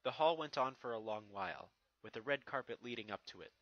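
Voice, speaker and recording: A man's voice: medium pitch, fairly quick, no room sound, lo-fi sound. A man giving a dramatic scripted narration of a fantasy fan fiction story.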